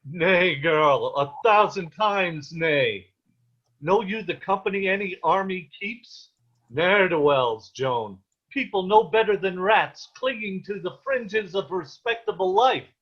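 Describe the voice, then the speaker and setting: A man performs a protective, anguished peasant father in a period drama. His voice sits slightly high and he takes his time over ornate, archaic phrases. The sound is a little dull, like an online call. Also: expressive, takes it slowly, slightly rough, full character voice. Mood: scolding, disgusted. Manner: ornate old-fashioned diction. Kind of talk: dramatic table read of a period stage play. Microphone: video call.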